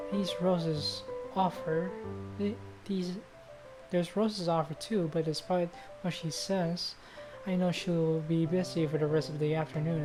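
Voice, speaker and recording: A woman's voice: low-pitched, poor audio. A woman streamer reading visual novel narration aloud, now and then stumbling and rereading phrases.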